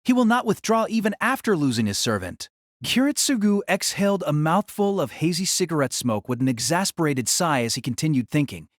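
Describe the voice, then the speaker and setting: A man reading scripted, dramatic novel narration as an audiobook narrator, in a measured, formal way. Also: fairly high pitch, sing-song, no room sound, clean studio sound.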